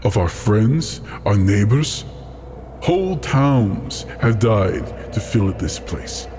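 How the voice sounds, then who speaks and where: A man voicing a proud, bitter ifrit genie in a tabletop game, speaking in a measured, stern way. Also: lowish voice, warm tone. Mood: grave, bitter. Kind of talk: improvised tabletop roleplay.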